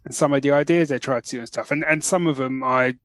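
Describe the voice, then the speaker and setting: A man co-hosts a podcast in a very dry, close-sounding recording. His mid-pitched voice is informal and colloquial. He talks as in casual chat, often turning to check that he is followed. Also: fast talker, fairly bright tone, no echo. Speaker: podcast co-host. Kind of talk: casual chat about geek news.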